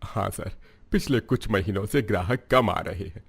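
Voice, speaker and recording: A man's voice: lowish voice, normal speed, gravelly, husky. Guy voicing a poor humble cook, polite and worried, cartoon dad. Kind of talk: cartoon voice acting.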